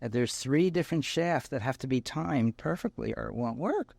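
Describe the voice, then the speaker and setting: A man's voice: mid-range voice, moderate pace, creaky, no echo. An older man speaks fondly and with animation about the machines he repairs. His voice sounds dry and close. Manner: fond, animated. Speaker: shop co-owner.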